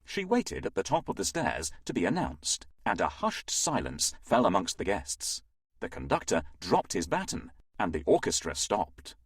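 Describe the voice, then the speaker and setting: A man reading a scripted children's fairytale as an expressive, dramatic storyteller. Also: low voice, moderate pace, slightly rough, no room sound, clean studio sound.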